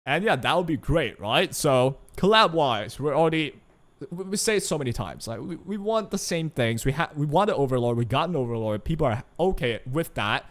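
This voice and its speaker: A man's voice: a little high, expressive, brisk. A young man whose first language is Chinese, giving casual, rambling commentary on a gacha game's revenue as a gaming YouTuber. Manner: chatty, rambling, frequent "right?" asides.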